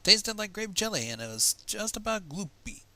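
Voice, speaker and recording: A man's voice: high voice, normal speed, no echo. A man hosting a livestream fan fiction reading, narrating expressively and breaking off into casual, exasperated commentary. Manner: expressive, exasperated asides, swearing.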